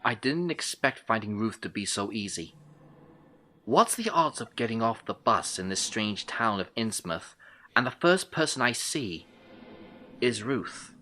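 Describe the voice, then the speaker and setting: An actor playing an intent, unsettled male detective, recounting his case in brooding, deliberate first-person audio drama narration. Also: fairly low pitch, expressive, bright, forceful tone, heavily acted.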